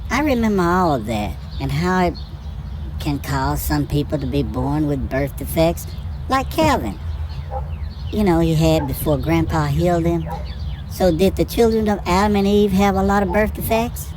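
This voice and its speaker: An earnest little boy, played by a woman in a homemade audio drama. The voice is slightly low for a female one and always questioning. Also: animated, normal speed, natural voice, low-quality recording. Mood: curious. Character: inquisitive nine-year-old grandson. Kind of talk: amateur Bible-themed audio drama acting.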